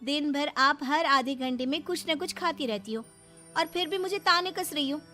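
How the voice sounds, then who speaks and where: A woman's voice: high-pitched, moderate pace, sharp tone, soft background music. A woman voicing a cheeky, food-loving young married woman in a moral story, playful, wheedling and dramatic. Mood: sassy. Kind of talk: animated Hindi moral story dialogue.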